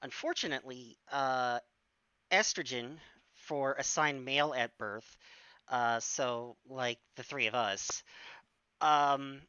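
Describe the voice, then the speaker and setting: A woman in her forties with a bright, crisp voice, talking slowly and haltingly. She fills her pauses with ums and restarts as she chats on a stream. The sound is dry and a little dull. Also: a bass voice, no room sound. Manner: hesitant, many fillers and restarts. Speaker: stream guest. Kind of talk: casual Q&A chat.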